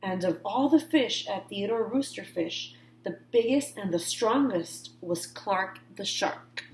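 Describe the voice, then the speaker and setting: A woman's voice: mid-range voice, expressive, a little slow, darkish tone, roomy sound, a little hiss or hum, low-quality recording. A woman reading a picture book aloud to children, giving key words dramatic emphasis and adding informal asides. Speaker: read-aloud storyteller.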